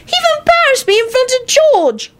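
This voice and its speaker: A woman's voice: very high voice, very animated, fairly quick, pitched above the performer's own voice. A woman voicing a whiny, pouty six-year-old boy. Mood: embarrassed. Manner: whining, gloomy, pouty.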